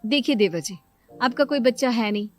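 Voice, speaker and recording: A woman's voice: a little high, animated, normal speed, music in the background, natural voice. A woman playing an insistent, scheming sister-in-law and mother in a dramatised moral story. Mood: coaxing, insistent.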